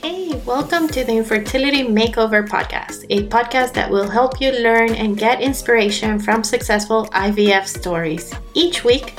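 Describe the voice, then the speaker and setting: A woman's voice: a little high, normal speed, hardly any pauses, soft background music. A woman in her thirties from Colombia with a Colombian accent, hosting a podcast and telling her own story in an earnest, confiding way. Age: 30s. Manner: earnest, confiding, with fillers and restarts. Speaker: podcast host. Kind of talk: personal monologue. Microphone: podcast microphone.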